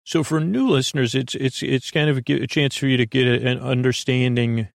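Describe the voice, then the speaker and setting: A man with a gravelly voice hosting a podcast, rambling through an unscripted bedtime monologue in a hesitant, self-deprecating, meandering way. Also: fairly even intonation, fairly quick, no room sound, clean studio sound. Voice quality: gravelly, creaky. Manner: self-deprecating, low-key. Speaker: podcast host.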